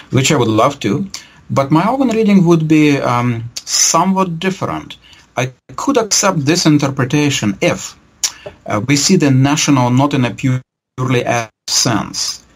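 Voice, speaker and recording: Male, middle-aged, professor type for interview answers: rough husky tone with vocal fry, measured and hesitant academic delivery. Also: medium pitch, poor audio. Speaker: history professor being interviewed. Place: book-lined office, indoors. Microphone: video call computer microphone.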